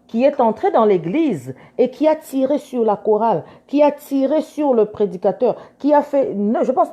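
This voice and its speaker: A woman's voice: a little high, mellow. A woman lawyer giving earnest, insistent commentary, switching between French and English and repeating her key points. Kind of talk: casual commentary.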